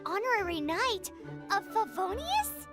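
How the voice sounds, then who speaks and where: A woman's voice: very high-pitched, sing-song, measured pace, music in the background, heavily acted. A woman voicing a tiny childlike fairy companion in a video game, chirpy, cheeky and exaggerated in delivery. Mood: surprised. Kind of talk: video game cutscene dialogue.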